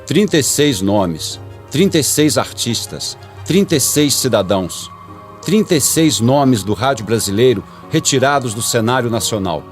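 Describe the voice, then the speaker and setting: A man's voice: mid-range voice, lively intonation, measured pace. Solemn Brazilian male narrator, faint music. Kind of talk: solemn scripted documentary narration.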